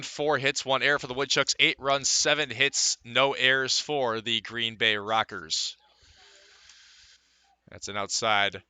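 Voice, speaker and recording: Bright, crisp male baseball announcer. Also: mid-range voice, dry recording. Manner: conversational asides between play calls. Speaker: baseball radio play-by-play announcer. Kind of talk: live sports commentary.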